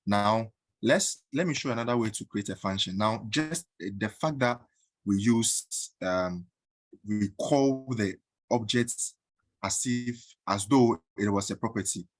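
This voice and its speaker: A man teaching a coding tutorial in a halting way, with many fillers and frequent "right?" checks. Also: fairly low pitch, dry recording. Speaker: coding instructor. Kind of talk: screen-recorded coding tutorial.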